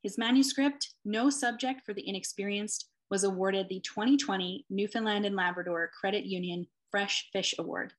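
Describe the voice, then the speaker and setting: A woman's voice: medium pitch, fairly even intonation, normal speed, few pauses. Woman editor as host, mid-range voice, casual and hesitant between readers. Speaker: magazine editor hosting. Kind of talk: casual event hosting. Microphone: computer mic on a video call.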